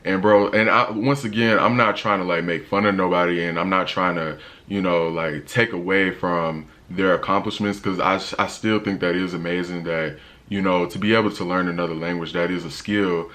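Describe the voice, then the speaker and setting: Young dude with a real low voice, chill slangy vlog talk, lots of "you know". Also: male voice, steady tone, normal speed, a bit bright. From United States. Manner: laid-back slangy talk, many fillers. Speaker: travel vlogger. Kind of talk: casual opinion vlog.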